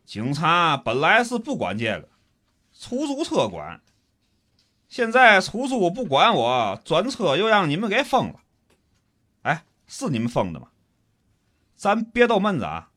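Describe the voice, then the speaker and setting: Male actor as pushy stranded commuter: Tianjin accent, high lively voice, complaining and threatening, comic radio play. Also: unhurried, long pauses, heavily acted. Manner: complaining, insistent, colloquial. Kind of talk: comic radio drama acting.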